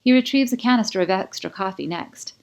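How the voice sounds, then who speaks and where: A woman reading first-person fiction as a scripted audiobook, with expressive, dramatic storytelling. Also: medium pitch, a little fast, creaky. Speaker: author reading own audiobook.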